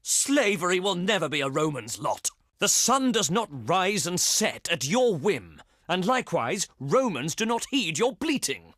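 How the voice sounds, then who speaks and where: Haughty Roman envoy, highish male voice, sweeping intonation, pompous oratory, strategy game character. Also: big pitch swings, a little slow, a bit bright, no room sound, clean studio sound, exaggerated voice. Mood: scornful, outraged. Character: haughty, contemptuous Roman senate diplomat, pompous adult man.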